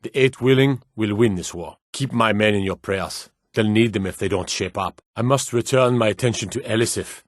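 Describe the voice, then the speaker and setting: An actor playing a gruff, proud Nord guard in a fantasy video game, blunt and curt with folksy rustic phrasing. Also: male voice, lowish voice, normal speed, hardly any pauses, dry recording, clean studio sound, natural voice. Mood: blunt, matter-of-fact.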